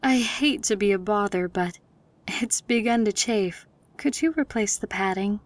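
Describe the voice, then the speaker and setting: A woman voicing an elegant, compassionate unicorn singer in an audio drama, speaking smoothly with gentle, polite refinement. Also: mid-range voice, a little slow, natural voice. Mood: polite, apologetic. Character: elegant female unicorn singing star, gracious, compassionate, refined.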